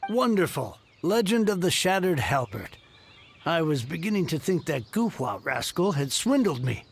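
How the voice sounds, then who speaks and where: An earnest, grateful merchant. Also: male voice, on the high side, big pitch swings, moderate pace, gravelly, noisy background. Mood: relieved. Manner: earnest, effusive. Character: grateful middle-aged male merchant heir.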